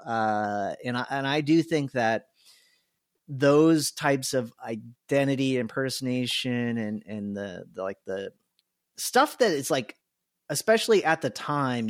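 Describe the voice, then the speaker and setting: A man's voice: mid-range voice, no room sound. A man hosting a podcast, thinking aloud in long, searching sentences into a close microphone. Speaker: podcast host. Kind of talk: casual podcast chat.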